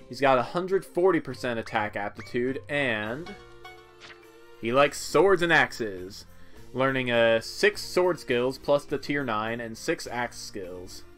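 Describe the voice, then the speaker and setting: Man, mid-pitched voice, unhurried pace, casual gameplay commentary, wry offhand asides. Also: animated, a bit bright. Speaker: video game commentator. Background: game music.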